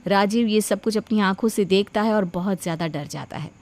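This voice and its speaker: Woman storyteller with medium-pitched voice. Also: a little fast, a little mellow. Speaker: narrator. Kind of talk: scripted narration of a Hindi animated moral story.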